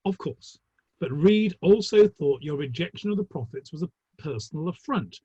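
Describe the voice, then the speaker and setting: A man acting a tactful, polite Victorian gentleman of the theatre in a play reading, slightly hesitant. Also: on the high side, expressive, normal speed, slightly rough, no room sound. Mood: tactful, placating. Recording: dull, lo-fi online audio.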